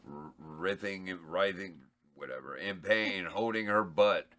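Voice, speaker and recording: A man's voice: low voice, measured pace, bright, forceful tone. A man reading stage directions aloud at a table read, laid-back and chuckling. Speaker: script reader and cast member.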